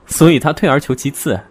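A man's voice: fairly high pitch, moderate pace, natural voice. An actor playing a hurt, sarcastic young man betrayed in love, in an audio drama. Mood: bitter, sarcastic.

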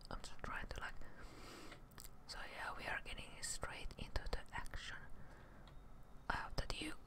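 Whispering woman, ASMR gamer. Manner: hushed, unhurried whispering. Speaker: gaming streamer. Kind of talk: ASMR whispered gameplay commentary. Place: indoors, close to the mic. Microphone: close desk microphone on a streaming setup.

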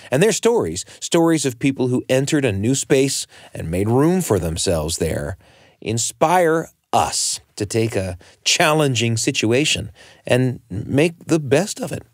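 American guy hosting a story show, really animated pitch, chatty and emphatic, dry close sound. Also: no room sound. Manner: emphatic, addresses listener with rhetorical questions. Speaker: radio show host. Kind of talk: storytelling radio introduction, conversational narration.

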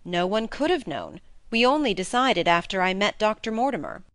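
A woman acts out a blunt, plain-spoken gentleman from a classic storybook. The delivery is emphatic and colloquial, swinging widely in pitch. The recording is close and dry. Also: big pitch swings, normal speed, no room sound, lightly acted. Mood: blunt, impatient. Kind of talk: acted audiobook dialogue.

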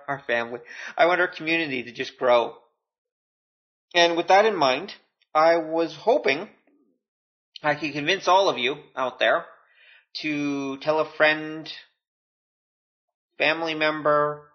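A man's voice: fairly high pitch, muffled recording. A man hosting a podcast solo, talking informally with self-deprecating, joking asides. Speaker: podcast host. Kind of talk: casual solo podcast talk.

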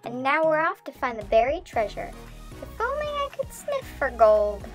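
A woman's voice: high-pitched, huge pitch swings, moderate pace, heavily acted. A woman voicing a cheerful young dog who narrates her life on a sailboat in a bubbly, punny way, with pirate talk. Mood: playful. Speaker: vlog narrator. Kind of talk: scripted playful narration. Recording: music playing under the voice. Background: music.

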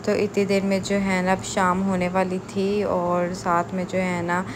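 A young woman talks casually into a close phone mic, her plain and her delivery even and unhurried. She leans on filler phrases as she chats through her salon work. A clear hum of room ambience sits under her voice. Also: lowish voice. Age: young adult. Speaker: salon owner and beauty vlogger. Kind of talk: casual vlog narration.

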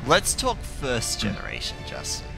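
A man's voice over clear video game music, mid-pitched. He hosts a gaming channel and talks casually with his friends as he plays. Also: wildly swooping pitch, moderate pace. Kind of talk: casual gameplay commentary with friends.

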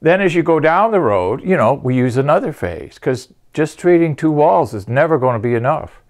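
A man's voice: on the high side, lively intonation, normal speed. A man in his sixties presenting an informal chalkboard lesson as an instructor, explaining step by step and checking in with "okay". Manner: explanatory, punctuates points with "okay". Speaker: acoustics company presenter and instructor. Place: indoor studio, at a chalkboard.

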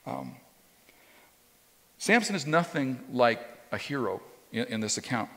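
A man's voice: mid-range voice, sing-song, unhurried, gravelly, bright, forceful tone. A man in his fifties preaching a sermon as pastor, talking in a loose conversational way with frequent restarts and repeated words. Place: church stage with a drum kit behind, indoors. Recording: long reverb of a church hall.

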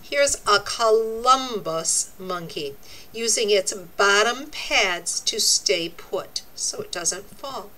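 A woman reading a nonfiction book aloud for young children, with deliberate, expressive, teacherly phrasing and explanatory asides. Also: medium pitch.

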